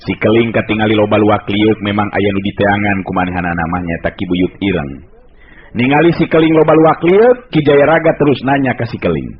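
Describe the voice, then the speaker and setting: A male storyteller from West Java narrating a folk tale in the style of a 1980s radio broadcast, measured and lively. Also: lowish voice. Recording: lo-fi old radio recording.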